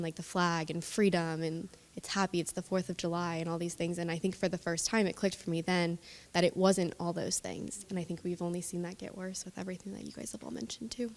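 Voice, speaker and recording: A woman's voice: on the low side, long reverb tail. A young woman sharing an earnest personal reflection in a group discussion. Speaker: discussion participant.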